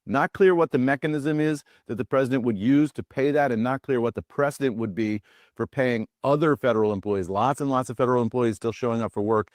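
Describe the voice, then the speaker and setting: A man's voice: medium pitch, fairly quick, a little mellow, dry recording, clean studio sound. A man with an American accent giving a live television news report as a correspondent.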